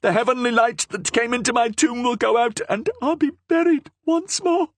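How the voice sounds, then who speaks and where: A man voicing a half-mad, starving woman prisoner who cries out in desperate, shrill pleas. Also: animated, a little slow, husky, no echo, exaggerated voice. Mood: frantic pleading.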